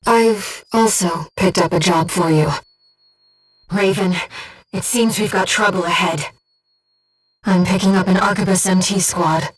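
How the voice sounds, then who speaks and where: A woman playing an earnest, devoted young female spirit guide in a video game, speaking with composed, gentle precision as a mission operator. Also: lowish voice, fairly even intonation, a little slow, pauses often, distant mic, poor audio. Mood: composed, informative. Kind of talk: scripted video game voice acting.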